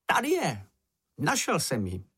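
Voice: medium pitch, very animated, slightly rough, pitched above the performer's own voice. A narrator voicing a small, timid male animal who frets and complains in a frightened, whiny manner. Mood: excited, wary. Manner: fretful, anxious, complaining.

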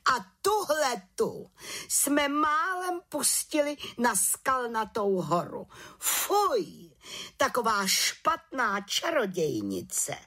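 A woman's voice: medium pitch, big pitch swings, fairly bright tone, pitched above the performer's own voice. A woman voicing an imperious head witch, stern and scolding, in a storybook reading. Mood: indignant scolding.

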